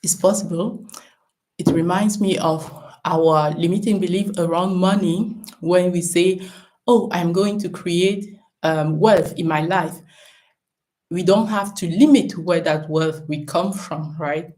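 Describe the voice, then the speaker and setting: A woman from Cameroon speaks as a business coach in a relaxed live stream recorded at home. Her voice sits a little low. She encourages her viewers and thinks aloud, dropping in "um" and "right?" as she goes. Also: unhurried, rough recording.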